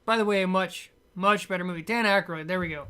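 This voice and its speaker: A woman's voice: fairly low pitch, fast, fairly bright tone, smooth. A woman giving a casual, rambling film review in a blunt, opinionated way. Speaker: movie reviewer.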